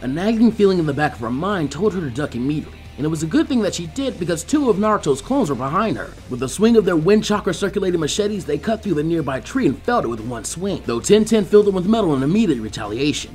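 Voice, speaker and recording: A man's voice: on the high side, very animated, fast talker, hardly any pauses, darkish tone, soft background music. A man reading fan fiction aloud as scripted story narration.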